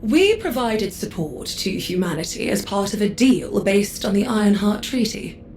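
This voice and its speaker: A woman's voice: mid-range voice, expressive, normal speed, a little mellow, slight background noise, lightly acted. A woman voicing an imperious adjudicator who speaks in a formal, condescending, clipped way. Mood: haughty. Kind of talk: game cutscene dialogue.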